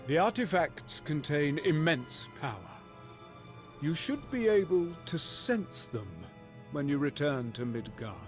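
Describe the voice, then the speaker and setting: A man playing a grave Norse god and ruler in a video game, speaking in a measured, stately, authoritative way. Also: on the high side, sing-song. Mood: grave, commanding. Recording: music under the voice.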